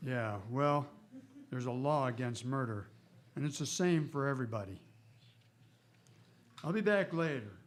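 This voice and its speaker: An actor playing a stern, laconic frontier marshal in a western radio drama, speaking in terse, folksy, matter-of-fact lines. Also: male voice, medium pitch, animated, a little slow, long pauses, slight background noise, close to an everyday voice. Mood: stern, probing.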